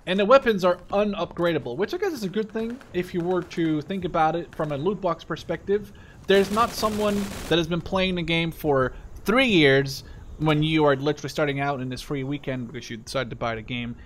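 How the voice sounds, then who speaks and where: Young adult male game commentator, slightly high-pitched, opinionated and sweary, casual delivery with clear game gunfire behind. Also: a little fast. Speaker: gaming video creator giving live commentary. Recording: loud machine-gun game noise behind voice. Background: gunfire and clicks from a war game.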